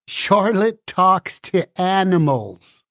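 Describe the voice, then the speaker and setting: A performer voicing a wistful, wronged book in a musical puppet show, speaking deliberately and plaintively. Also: medium pitch, very animated, fairly bright tone, dry recording, studio quality, exaggerated voice. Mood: gloomy, resigned.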